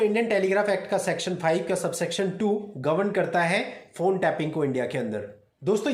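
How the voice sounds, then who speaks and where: A man giving an explanatory legal talk in a didactic way, often addressing his listeners directly as an advocate presenting to his audience. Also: high voice. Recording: echoey, roomy sound.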